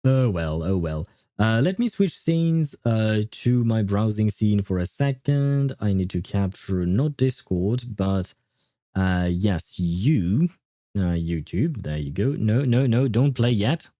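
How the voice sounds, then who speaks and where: Low, mellow-voiced man chatting on stream. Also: animated, takes it slowly, no room sound. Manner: rambling, self-interrupting, playfully grumbling. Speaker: livestream host, gaming streamer. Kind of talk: casual livestream chat with viewers.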